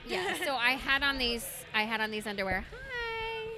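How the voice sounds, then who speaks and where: A woman's voice: high voice, big pitch swings, moderate pace, over music. A middle-aged woman co-hosting a casual chat, candid and chatty, full of fillers. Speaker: podcast co-host.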